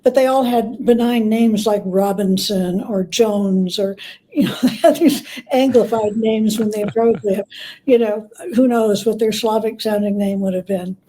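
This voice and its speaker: A woman talking as an interview guest, telling stories in a halting, reflective way with repeated words. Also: mid-range voice, mellow, slight background noise. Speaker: guest author and biographer. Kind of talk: conversational interview storytelling.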